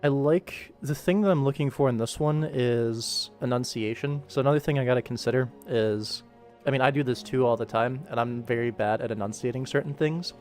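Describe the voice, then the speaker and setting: A man talks casually as the host of an animation work stream. His pitch sits in the middle for a male voice, and he is chatty, detail-focused and self-deprecating. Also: fairly quick, darkish tone, faint music behind. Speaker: animation creator and livestream host. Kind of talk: casual livestream work commentary.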